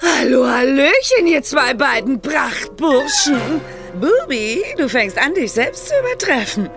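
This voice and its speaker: A vain wicked witch aunt, high-voiced and swooping in pitch. She is bossy and gushes theatrically, in a children's radio play over music. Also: sing-song, unhurried, heavily acted. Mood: gushing. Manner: domineering, scornful, gushing.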